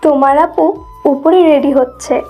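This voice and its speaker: Woman acting a high, mellow motherly auntie. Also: a little slow, soft background music. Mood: welcoming. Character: welcoming middle-aged mother, a kindly auntie. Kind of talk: Bengali romantic audio story acting.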